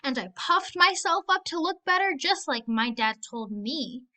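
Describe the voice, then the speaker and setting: A woman's voice: high voice, expressive, measured pace, sharp tone, pitched above the performer's own voice, heavily acted. A woman voicing a small, timid young boy wolf in a storybook, earnest and pleading. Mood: upset.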